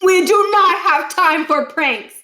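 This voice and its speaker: A woman's voice: extremely high pitch, lively intonation, slow, sharp tone, reverberant, voice pushed up, exaggerated voice. A woman voicing a stern, humourless older male school principal in a storybook, pompous and commanding, with clipped, formal phrasing. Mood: stern, lecturing.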